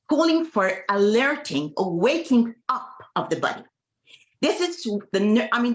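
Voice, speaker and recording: A woman doctor whose first language is Farsi, explaining in halting, self-correcting English on an online panel over a video call. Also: mid-range voice. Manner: halting non-native English, frequent restarts.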